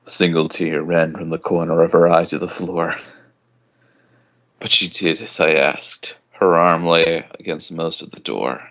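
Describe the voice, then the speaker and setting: A man's voice: deep voice, steady tone, measured pace, a bit bright, muffled recording. A man reading a story aloud as an amateur dramatic narrator. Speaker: fan fiction reader.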